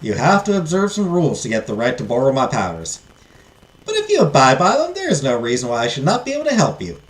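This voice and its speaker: A woman voicing a grand, teasing fairy godmother in a storybook, with a Southern US accent and measured, formal delivery. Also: very deep voice, wildly swooping pitch, roomy sound, full character voice, rough recording. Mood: firm, cautioning. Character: fairy godmother, benevolent, grand, teasing supernatural female spirit. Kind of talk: storybook character voice.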